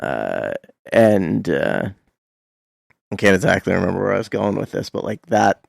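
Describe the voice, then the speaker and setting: Low, creaky-voiced Canadian man chatting. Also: sing-song, no room sound, clean studio sound. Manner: hesitant, reflective, halting. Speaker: radio show co-host. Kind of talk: casual chat.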